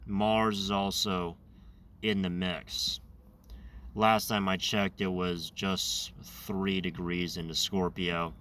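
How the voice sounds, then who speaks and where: A man hosting his own channel, talking in a loose, rambling monologue with a raspy, slightly nasal voice, congested and over-caffeinated. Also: low-pitched, level tone, takes it slowly, fairly bright tone, distant mic. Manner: rambling, self-correcting. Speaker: channel host. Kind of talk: casual monologue.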